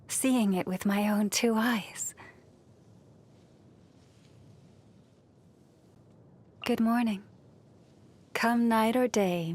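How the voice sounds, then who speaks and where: A woman voicing a gentle, serene moon priestess in a video game, speaking softly and soothingly with a reverent manner. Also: mid-range voice. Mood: serene, tender. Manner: soft, soothing, unhurried, reverent. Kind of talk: scripted video game character voice lines.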